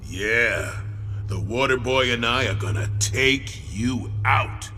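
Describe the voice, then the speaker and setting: Gravelly, brash mutant henchman. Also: medium pitch, lively intonation, slow pace, heavily acted. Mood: gloating menace. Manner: boastful, growling, aggressive. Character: brash muscle-bound mutant bandicoot henchman, hot-headed. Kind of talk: video game cutscene acting. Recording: distant, reverberant, under loud music.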